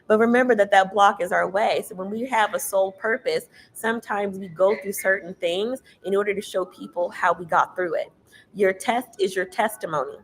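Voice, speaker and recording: A woman with a loud, carrying voice giving a casual tarot reading, emphatic and preachy, swearing freely. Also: medium pitch, moderate pace, slight background noise, poor audio. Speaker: tarot reader.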